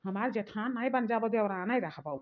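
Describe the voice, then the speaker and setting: Woman acting a defiant cartoon village wife, medium pitch, Awadhi accent, combative repetitive grumbling, muffled lo-fi sound. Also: lively intonation, brisk, close to an everyday voice. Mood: angry. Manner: combative, grumbling, repeats phrases. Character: defiant, hot-tempered village sister-in-law who refuses chores. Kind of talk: rustic cartoon comedy dialogue. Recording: dull lo-fi sound.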